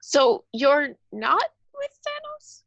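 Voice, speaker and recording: A dull, dry home recording of a nervous teenage boy superhero, played by a woman. The voice is high and swings eagerly up and down. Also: wildly swooping pitch, takes it slowly, creaky, a bit bright, dry recording, faint music behind, full character voice. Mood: earnest, curious. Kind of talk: amateur table read of a film script.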